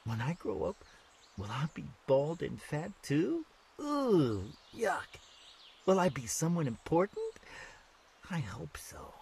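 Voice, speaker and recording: A woman voicing a confused, wondering young child in a video game cutscene, musing aloud in a halting, emotional way. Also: low voice, big pitch swings, vocal fry, a little mellow, husky, full character voice. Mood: curious, musing.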